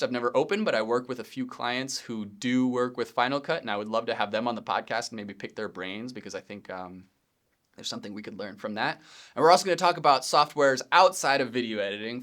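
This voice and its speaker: Smooth-voiced man hosting a podcast. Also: mid-range voice. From Pennsylvania. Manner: relaxed, rambling, frequent ums and self-corrections, occasional laughs. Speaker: podcast host, video editor. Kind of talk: casual solo podcast intro. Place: home studio space.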